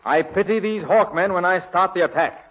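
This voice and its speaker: A man's voice: sits high, fairly quick, close to an everyday voice. A man playing a gallant hero. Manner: gallant, eager theatrical delivery. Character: heroic young male prince-commander, gallant. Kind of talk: 1930s radio acting. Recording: dull lo-fi old radio recording.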